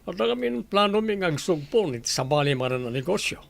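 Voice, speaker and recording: A man's voice: high voice, very animated, brisk, gravelly, exaggerated voice. A man acting a calculating, wealthy middle-aged father in a radio drama, with an Ilocano Filipino accent. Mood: scheming.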